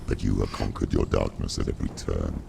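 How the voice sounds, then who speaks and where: An actor playing a grave, manipulative shadow of darkness in a video game, speaking slowly and solemnly with a growling voice. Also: male voice, level tone, exaggerated voice. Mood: grave confession. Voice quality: gravelly, growling, extremely deep. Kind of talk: dramatic video game acting. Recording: heavy rumbling noise throughout.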